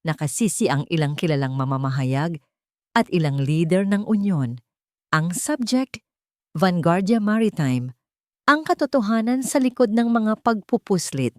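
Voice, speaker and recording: Woman reading a dramatic story, lowish voice, really dry close sound. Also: lively intonation, unhurried, dry recording. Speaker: narrator. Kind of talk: scripted dramatic story narration.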